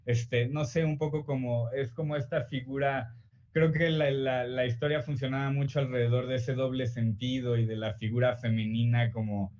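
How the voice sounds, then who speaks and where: A young Mexican man, an author answering interview questions in a relaxed, hesitant way full of fillers and restarts. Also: medium pitch, normal speed, muffled recording.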